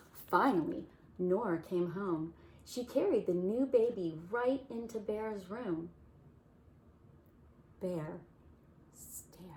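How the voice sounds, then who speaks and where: A woman reading a children's picture book aloud in a soft-spoken, unhurried way. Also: mid-range voice, a little hiss or hum. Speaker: children's storyteller.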